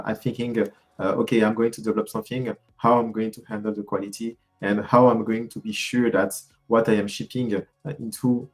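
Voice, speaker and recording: A man moderating an online event over a video call, halting and filling pauses with many fillers. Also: lowish voice, a little mellow, low-quality recording. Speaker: host and moderator.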